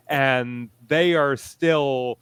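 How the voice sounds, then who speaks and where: A man speaking as a convention panelist, explaining things haltingly and often pausing mid-sentence in a discussion. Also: medium pitch, a bit bright, smooth, no room sound. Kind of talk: panel discussion of audio dramas.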